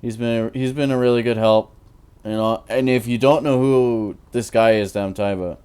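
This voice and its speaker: A tired man in his twenties with a naturally deep voice, rambling through casual commentary while he builds in a game, full of fillers and restarts. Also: fairly even intonation, moderate pace, darkish tone, clean tone. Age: 20s. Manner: rambling, hesitant, many fillers. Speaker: Minecraft YouTuber.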